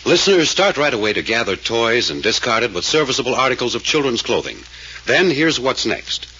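A man delivers a scripted appeal in the manner of 1940s radio, forceful and lively in pitch. The old recording is dull, with faint noise. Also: fairly quick. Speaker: radio actor making a charity appeal.

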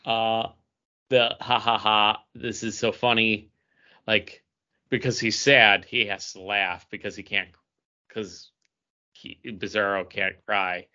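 A man with a fairly low voice talks in a loose, conversational review style. He halts and searches for his words as he goes. The sound is slightly dull and lo-fi. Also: little pitch movement, slow pace, bright, forceful tone. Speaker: podcast co-host. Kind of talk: casual review chat.

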